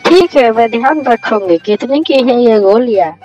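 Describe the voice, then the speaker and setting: A woman's voice: on the high side, lively intonation, normal speed, darkish tone, natural voice. A woman playing a long-suffering, concerned village wife in a cartoon moral story. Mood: curious. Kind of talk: Hindi cartoon storybook acting. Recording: dull lo-fi sound with music.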